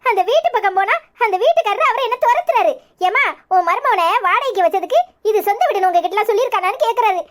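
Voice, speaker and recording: A woman's voice: extremely high pitch, lively intonation, fast, few pauses. A woman playing an aggrieved, stubborn older village mother in a melodrama, complaining insistently in a southern Tamil Nadu accent. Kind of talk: melodramatic village serial acting.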